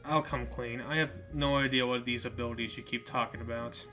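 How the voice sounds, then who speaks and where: A man voicing a shy, nervous teenage outcast who speaks quietly and hesitantly, with faint music behind. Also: mid-range voice, steady tone, fairly quick, natural voice.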